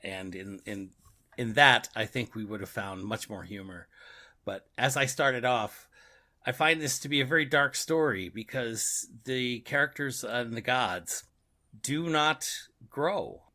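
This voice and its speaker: A man's voice: mid-range voice, a little slow, bright, forceful tone. A man co-hosting a podcast, casually discussing a story and often repeating words as he thinks aloud. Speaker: podcast co-host. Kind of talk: casual book and radio drama discussion.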